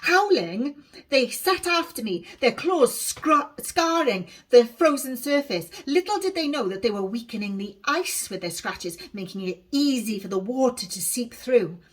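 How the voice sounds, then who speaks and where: A woman voicing an excitable, boastful young Welsh girl in a storybook, eager and dramatic as she tells tall tales. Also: on the high side, unhurried, pitched above the performer's own voice. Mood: dramatic boasting.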